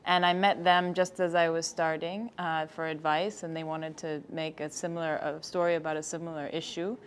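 A woman in her thirties, a filmmaker guest, answering interview questions in a thoughtful, slightly halting way. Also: sits low, level tone, a little hiss or hum. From Egypt. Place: studio set with screens behind.

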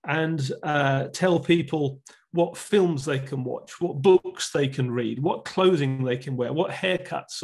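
A man's voice: a little high, creaky, husky. A middle-aged man, a church leader giving an earnest teaching talk from a room at home, speaking into a wired earphone mic on a video call. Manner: earnest, explanatory, gestures with both hands.

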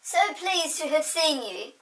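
A woman voicing a small, sly male goblin in a children's storybook, pompously and over-politely courteous, with a sharp, creaky voice. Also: high-pitched, animated, a little slow, recorded from a distance, voice pushed up, heavily acted, poor audio. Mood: oily politeness.

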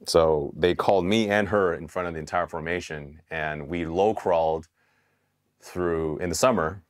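A man in his thirties, from Taiwan and raised in California, telling his Army story in a candid, relaxed interview with casual swearing and frequent restarts. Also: low-pitched, moderate pace. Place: dark studio set, indoors. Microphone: hidden mic, seated on camera.

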